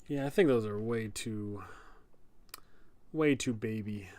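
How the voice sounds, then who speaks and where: A man's voice: on the low side, takes it slowly, dark tone, dry recording. A man hosting a livestream, thinking aloud in short, trailing phrases as he works and chats casually. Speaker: livestream host, tabletop game master and content creator.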